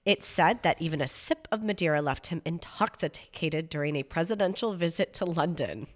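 A woman co-hosting a casual talk show, her voice husky from a cold, reading notes aloud and laughing often. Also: sits low, expressive, normal speed. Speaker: podcast co-host. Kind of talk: casual chat reading trivia.

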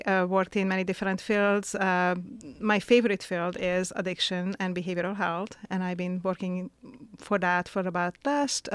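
A woman's voice: medium pitch, smooth, no room sound. A middle-aged woman from Hungary with a Hungarian accent, speaking plainly as a guest on a radio talk show. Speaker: guest, nurse and health coordinator.

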